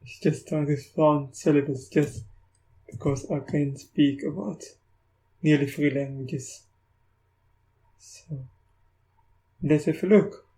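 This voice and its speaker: A South African man with a slightly raised pitch and a flat, level delivery. He talks through a screen recording in a loose, thinking-aloud way, often breaking off and starting his sentences again. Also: slow, long pauses, low-quality recording. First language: Afrikaans. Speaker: tech YouTuber. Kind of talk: casual screen-recorded software walkthrough.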